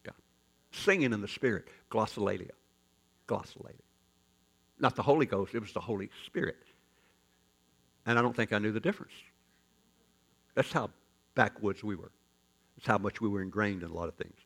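A man pastor preaching a sermon as folksy, joking storytelling, with sudden loud rises in his delivery. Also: on the low side, normal speed, gravelly, pauses often, husky.

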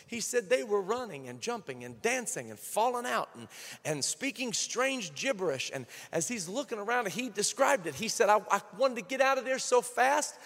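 A male pastor preaching a sermon, moving between quiet storytelling and shouted, fervent praise. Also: high voice, big pitch swings, normal speed, big-room sound.

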